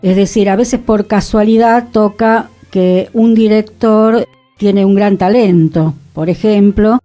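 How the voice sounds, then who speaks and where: Mellow, measured woman. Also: mid-range voice, fairly even intonation, soft background music. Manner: measured, explanatory. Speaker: radio co-host. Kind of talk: reflective radio discussion. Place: radio studio.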